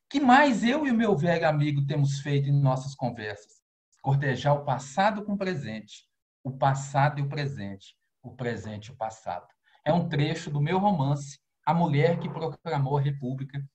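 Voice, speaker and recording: Brazilian poet reciting expressively. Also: male voice. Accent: Brazilian Portuguese. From Minas Gerais, Brazil. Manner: expressive recitation, rising pitch on key lines. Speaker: poet and novelist. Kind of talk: poetry reading.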